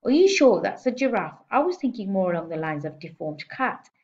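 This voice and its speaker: A woman's voice: medium pitch, big pitch swings, a little fast, a little mellow, dull audio, close to an everyday voice. A woman voicing a weary, reluctant middle-aged father who answers with exasperated skepticism. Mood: skeptical.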